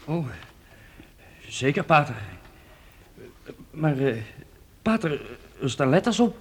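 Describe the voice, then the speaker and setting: A man's voice: on the high side, animated, slow. An actor playing a timid, humble young boy apprentice in a radio play.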